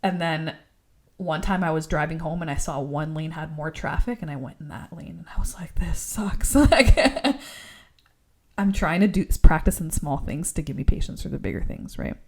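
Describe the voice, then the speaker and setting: A woman's voice: low voice, normal speed, darkish tone. A young woman co-hosting a faith-based podcast, talking earnestly and rambling, often laughing and saying "like". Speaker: podcast co-host.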